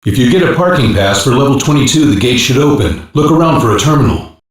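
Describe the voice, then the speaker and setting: Actor as game mission-support hacker, male, practical and instructive, medium pitch, distant echoey sound. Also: animated, quick pace, close to an everyday voice. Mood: matter-of-fact. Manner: instructive, matter-of-fact. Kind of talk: English animated sci-fi game voice acting.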